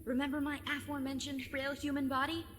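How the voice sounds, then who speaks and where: A woman's voice: high-pitched, little pitch movement, fast, a bit bright, in a large hall, a little hiss or hum. A woman playing a sarcastic, exasperated teenage girl, dry and sceptical, in an audio drama. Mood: alarmed, reluctant. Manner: dry, sardonic, nagging.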